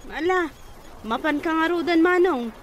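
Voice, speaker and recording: A woman's voice: very high voice, animated, moderate pace. A woman with a northern Philippine accent plays a polite, sweet young woman in radio comedy drama, in a coy manner. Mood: friendly, curious. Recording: faint background noise.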